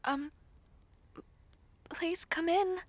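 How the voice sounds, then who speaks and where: Girl acting shy young maid type, high soft voice, slow n hesitant, super polite, audio roleplay. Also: steady tone, full character voice. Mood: bashful, hesitant. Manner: soft-spoken, hesitant, formal and apologetic. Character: shy, humble young former maid, politely flustered in new luxury.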